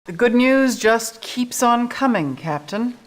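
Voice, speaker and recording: A woman's voice: a little high, animated, slow pace. A woman in her thirties playing a stern, businesslike resistance officer, giving a serious briefing in a video game cutscene. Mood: grave, businesslike. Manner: serious, clipped briefing delivery.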